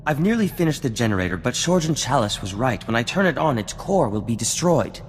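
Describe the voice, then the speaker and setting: An actor playing a vain, refined scientist-inventor in a video game cutscene, speaking in a formal, theatrical and florid way. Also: male voice, medium pitch, big pitch swings, fairly quick, breathy edge. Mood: anxious. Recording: long reverb, music underneath.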